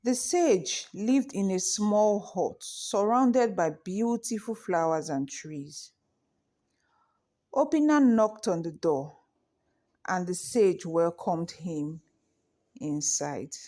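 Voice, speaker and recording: Lady reading a kids folktale, really slow and measured, lowish voice. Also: dark tone. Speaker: storyteller. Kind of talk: scripted children's folktale narration.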